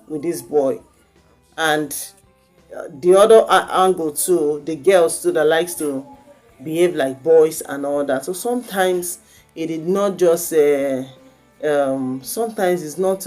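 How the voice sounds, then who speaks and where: A woman's voice: low-pitched, darkish tone. A woman from Lagos with a Nigerian accent, giving informal parenting advice in a conversational way, posing questions and answering them herself. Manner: conversational, asks and answers own rhetorical questions. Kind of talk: informal advice talk with anecdotes.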